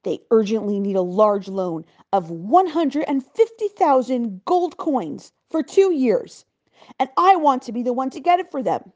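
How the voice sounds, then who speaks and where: Raging, pompous governor, read by a woman; booming, scornful, big pitch leaps. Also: very animated, a little slow, a little mellow, muffled recording. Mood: furious ranting. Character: arrogant, furious male provincial governor.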